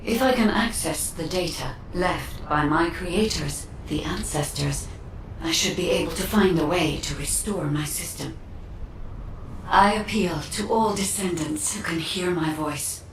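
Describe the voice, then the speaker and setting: An actress voicing a calm, earnest artificial guide in a video game, solemn and measured in her appeal. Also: crowd noise. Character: calm female guide, ancient AI system, earnestly pleading. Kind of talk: scripted video game acting. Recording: distant, echoing, noisy rumble.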